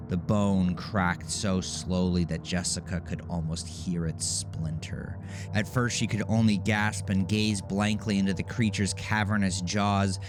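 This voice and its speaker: A man narrating a scripted horror story in a measured, dramatic manner. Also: low-pitched.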